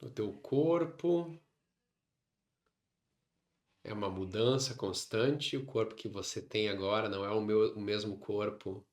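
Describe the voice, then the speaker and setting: A man with a Brazilian Portuguese accent leading a guided meditation, instructing in short phrases separated by long pauses. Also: lowish voice. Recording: roomy, echoing sound.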